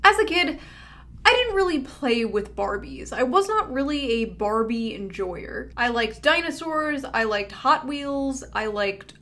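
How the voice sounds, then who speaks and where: A woman's voice: sits high, animated, unhurried, bright, forceful tone. A young woman giving a sarcastic video essay commentary, ironic and crude with bursts of mock outrage, close to a studio mic. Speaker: online commentator. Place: small indoor room with tinsel backdrop. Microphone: large studio mic close in front.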